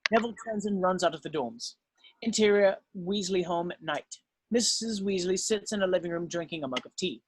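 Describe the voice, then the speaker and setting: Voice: medium pitch, fairly quick, breathy edge, rough recording. A narrator reading screenplay stage directions as scripted narration, now and then stumbling over the text.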